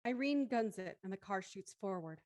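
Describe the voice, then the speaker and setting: A woman's voice: mid-range voice, lively intonation, moderate pace, darkish tone, dry recording. A woman reading scripted narration for an audio drama thriller.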